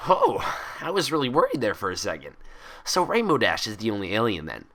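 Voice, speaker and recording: A man's voice: lowish voice, huge pitch swings, a little fast, fairly bright tone, heavily acted. A man voicing a hyper, cheerful, gullible young female cartoon pony in bubbly, rambling, childlike chatter. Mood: excited, amazed.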